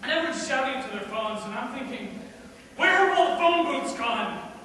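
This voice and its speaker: A man performs a cynical, self-justifying dead man in a stage monologue. His voice sits high for a male and moves between musing and emphatic rhetoric. It carries from a distance through a long hall reverb, with clear noise in the recording. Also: normal speed, pushed and bright. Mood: exasperated. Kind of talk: theatrical dramatic monologue.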